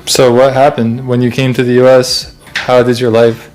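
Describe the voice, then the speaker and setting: A man asks casual questions and teases as he interviews his wife in their kitchen. His voice sits in a middle range, with soft music playing in the background. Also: medium pitch, level tone, moderate pace, poor audio. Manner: teasing, prompting questions. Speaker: interviewer, husband. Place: home kitchen.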